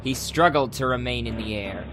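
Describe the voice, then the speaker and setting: A man's voice: medium pitch, moderate pace. A man narrating a fantasy adventure story as a scripted, dramatic audiobook. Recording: music behind the voice.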